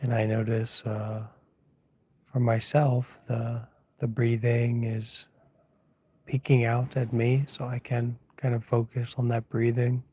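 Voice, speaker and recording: A man teaching as a monk leads an unscripted guided meditation in a hushed, soft, slightly husky voice, unhurried and pausing often as he gently gives instructions. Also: medium pitch, level tone, dry recording. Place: outdoors at night, lying down under a mosquito net.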